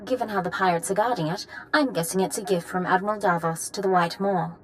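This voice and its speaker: An actress playing a brisk, composed young female military ensign with dry wit in a video game. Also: mid-range voice, slight background noise, natural voice. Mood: businesslike.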